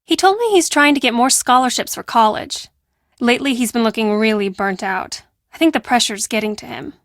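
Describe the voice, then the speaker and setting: A woman playing a brash, defensive teenage girl who works as a high school hall monitor in a video game, with a sassy, dismissive and emphatic delivery. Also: fairly quick, a bit bright, clean studio sound, lightly acted. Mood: matter-of-fact. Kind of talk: acted video game dialogue.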